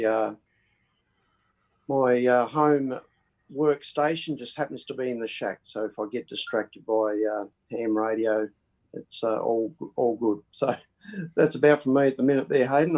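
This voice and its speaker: Aussie bloke on an ipad call, friendly, lots of ums and uhs, mid-pitched voice. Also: male voice, steady tone, moderate pace, darkish tone, poor audio. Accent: Australian. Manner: friendly, hesitant, lots of filler words. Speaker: ham radio net participant. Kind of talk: casual self-introduction on a video call. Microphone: tablet on a video call.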